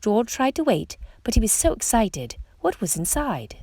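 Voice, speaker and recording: Animated woman reading a children's storybook. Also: on the high side, normal speed, breathy edge, dry recording. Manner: expressive, dramatic emphasis on exclamations and questions. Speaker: storytime reader. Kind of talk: read-aloud children's picture book narration.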